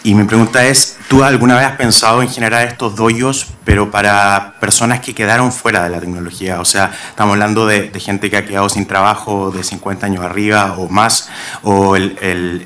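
Low, flat-voiced Chilean man. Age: young adult. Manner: friendly, repeats words while thinking. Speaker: audience member asking a question. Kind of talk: question from the floor. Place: large wood-panelled chamber, seated at a desk. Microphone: desk microphone.

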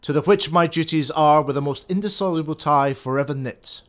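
Noble general, Shakespeare radio play: male actor, slightly high pitch, wary and dutiful. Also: fairly quick, natural voice. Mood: solemn, dutiful. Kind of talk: classical Shakespearean radio drama acting.

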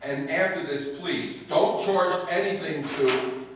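A man's voice: on the high side, slow pace, background noise. A man playing an exasperated, wry husband in an old-time radio comedy performed on stage. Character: exasperated, dryly wry husband narrating his wife's schemes. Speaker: actor in a staged radio play. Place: stage in a hall before an audience. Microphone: far camera. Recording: echoey hall, recorded far off.

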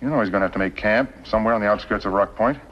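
An actor playing a sly, scheming villain in a 1950s TV western. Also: male voice, on the low side, racing, breathy edge. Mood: sly, calculating. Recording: muffled 1950s film soundtrack.

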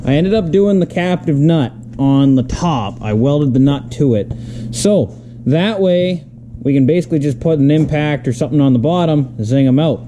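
A man with a mellow, dark voice walks through his build step by step. His talk is relaxed and plain. There is a faint hum of noise around him in the workshop. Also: on the high side, moderate pace. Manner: relaxed, explains steps as he goes. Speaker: garage builder showing a project. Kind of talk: casual how-to workshop vlog. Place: large indoor workshop garage. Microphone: handheld or body-worn action camera.

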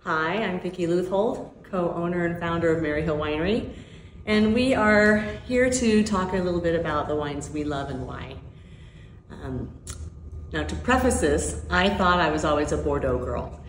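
A woman's voice: lowish voice, moderate pace, slight background noise. A middle-aged woman from Hawaii, a winery co-owner, talking enthusiastically to the camera. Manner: enthusiastic, descriptive. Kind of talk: promotional to-camera talk. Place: tasting room at a wooden bar. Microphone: camera a few feet away. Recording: roomy indoor echo.

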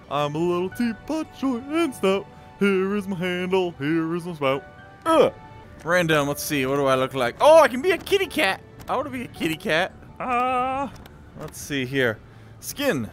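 Playful, high-pitched man doing excited game commentary. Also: a little slow. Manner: playful, exclamatory, goofy sound effects and sing-song. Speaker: gameplay video host. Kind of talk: excited let's-play commentary. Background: video game music.

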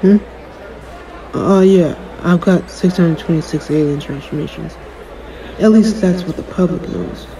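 Guy doing a cocky young superhero for audio roleplay, really mellow higher voice, sarcastic n dismissive, bit of background noise. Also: measured pace, poor audio. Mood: aloof, smug. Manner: dry, sarcastic, aloof. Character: jaded, cocky young male superhero, dismissive of his fan. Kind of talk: audio roleplay acting, one-sided conversation.

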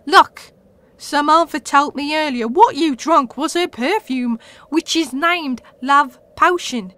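A woman plays a bossy British female creature of the witch world in an audio comedy. The voice is high and piercingly bright. She is sarcastic, impatient and full of brash, scornful slang. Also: slow, no room sound, heavily acted. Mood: exasperated. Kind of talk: comedy audio drama acting.

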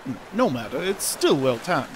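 A man's voice: fairly high pitch, very animated, normal speed, a little hiss or hum. An actor playing a courteous, formal and dutiful servant of a queen in a fantasy audio drama.

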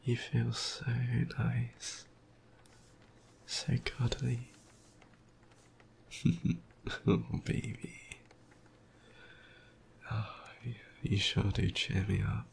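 A tired young man with a croaky voice, softly whispering an affectionate boyfriend roleplay close to the mic. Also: medium pitch, fairly even intonation, drawn-out words, pauses often, a little hiss or hum. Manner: soft, affectionate whispering with quiet laughs. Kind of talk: ASMR roleplay.

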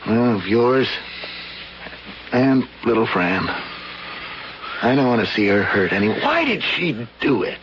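An actor playing a kindly, discreet elderly family doctor, gentle and hesitant, in a 1970s radio drama. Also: male voice, medium pitch, very animated, unhurried, pauses often. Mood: reassuring, thoughtful. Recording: dull, lightly hissy vintage radio recording.

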